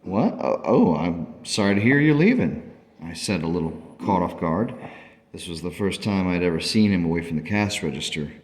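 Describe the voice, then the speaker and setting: An actor playing a grieving, devoted father who narrates a radio play in a halting, earnest, sorrowful way. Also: low-pitched, a little mellow, natural voice. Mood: caught off guard. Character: grieving, devoted father recounting his daughter's illness. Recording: long reverb.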